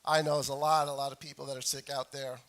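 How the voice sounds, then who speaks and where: A middle-aged man preaching a sermon as a pastor, hoarse from a cold, speaking deliberately and repeating phrases for emphasis. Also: mid-range voice, little pitch movement, a bit bright. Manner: frequent throat clearing. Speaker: pastor preaching to his congregation. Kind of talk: sermon with scripture reading.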